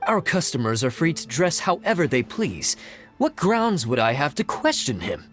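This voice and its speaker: A polite touchy shop guy in a game, highish voice, very up and down. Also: wildly swooping pitch, normal speed. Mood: defensive. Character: polite, defensive male shopkeeper. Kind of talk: video game voice acting. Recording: music playing underneath.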